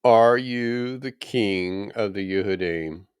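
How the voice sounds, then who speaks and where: A man's voice: on the low side, takes it slowly, smooth, no echo, clean studio sound, voice pushed up. A man voicing a stern Roman governor in a scripture reading, questioning a prisoner with commanding, emphatic delivery.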